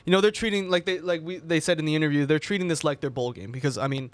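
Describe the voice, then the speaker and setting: Young male sports co-host, higher voice, smooth, dry studio sound, easygoing rambling chat. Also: rapid-fire, no echo. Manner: chatty, rambling with fillers and repeats. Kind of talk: casual chat. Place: small TV studio, indoors. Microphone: desk mic on boom arm.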